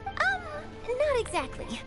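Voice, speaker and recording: High-pitched, eager girl reporter. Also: wildly swooping pitch, slow pace, heavily acted. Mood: dramatic storytelling. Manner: theatrical, bubbly. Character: eager, dramatic young female newspaper reporter. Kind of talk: anime video game voice acting. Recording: game audio with clear music behind.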